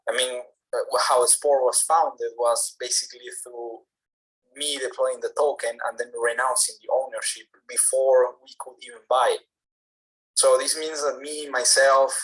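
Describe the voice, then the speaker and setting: Soft-spoken man from Peru, explaining. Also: medium pitch, low-quality recording. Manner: measured, slightly halting, explanatory. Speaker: guest, project founder. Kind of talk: casual interview. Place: home in France, late at night.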